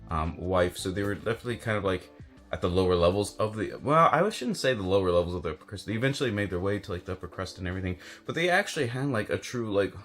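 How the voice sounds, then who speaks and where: A man's voice: low-pitched, animated, fast talker, a bit bright, soft background music. An American man chatting casually as a book reviewer, rambling and hedging as he thinks aloud. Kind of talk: casual chat to camera about books.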